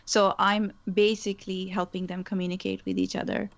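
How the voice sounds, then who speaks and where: A woman's voice: medium pitch, level tone, a little fast, vocal fry, highs cut off. A woman whose first language is Urdu, a guest in a casual interview, speaking thoughtfully with frequent restarts. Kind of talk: conversational interview.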